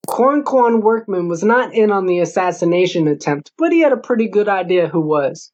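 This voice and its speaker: Guy reading a novel out loud, audiobook style, kinda dull lofi audio. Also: high-pitched, expressive, normal speed. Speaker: audiobook narrator. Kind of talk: scripted novel narration. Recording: dull lo-fi recording.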